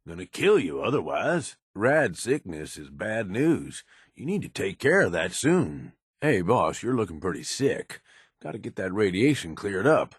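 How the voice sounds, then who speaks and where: A deep, gruff American raider lieutenant for a video game. He is blunt and cynical yet loyal, talking in rough, folksy slang. His delivery moves widely in pitch, and the recording is dry and close. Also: sing-song, unhurried, dry recording, full character voice. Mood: gruff concern. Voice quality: low, gruff. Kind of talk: video game companion voice lines.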